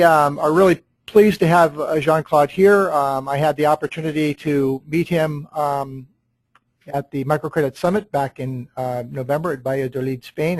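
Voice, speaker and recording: A man hosting a webinar, his voice a touch high and recorded dry with no room sound. He talks casually and apologetically, scattering ums throughout. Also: dry recording, rough recording. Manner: apologetic, filler-heavy, um-laden. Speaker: webinar host.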